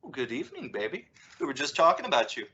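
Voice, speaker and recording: A low, bright voice in dull lo-fi audio, a woman acting as a middle-aged suburban dad in a radio comedy. He is dry, sarcastic and exasperated, yet fond of his family. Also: sing-song, fast talker, husky, exaggerated voice. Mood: warm, casual. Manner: dry sarcasm with emphatic swoops. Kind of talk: old-time radio sitcom acting.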